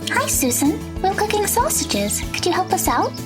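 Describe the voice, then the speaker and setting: A woman's voice: very high voice, very animated, moderate pace, over music. A woman playing a friendly, eager teenage girl with a bubbly manner in an audio drama.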